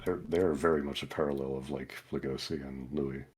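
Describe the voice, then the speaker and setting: A man's voice: extremely low pitch, steady tone, brisk, darkish tone, breathy edge. A man chatting casually as a panelist on a podcast. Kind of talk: casual chat.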